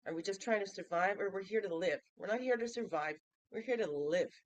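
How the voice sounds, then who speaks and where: A woman in her fifties with a stuffy, nasal voice from a cold, hosting a daily reflection vlog. She talks in a playful, chatty way and keeps asking the listener questions. Her voice sits at an ordinary pitch and sounds close and dry. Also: brisk, no echo, lo-fi sound. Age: 50s. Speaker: host of a daily reflection show. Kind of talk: casual reflective vlog talk.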